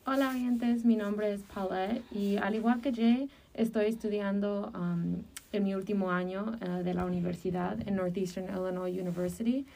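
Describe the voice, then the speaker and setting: Distant-miked young woman, mellow tone. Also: mid-range voice, fairly even intonation, moderate pace, creaky, hardly any pauses. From Chicago, United States. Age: early 20s. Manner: hesitant, filler-heavy phrasing. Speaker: podcast co-host. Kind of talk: casual podcast discussion. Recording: distant from the microphone.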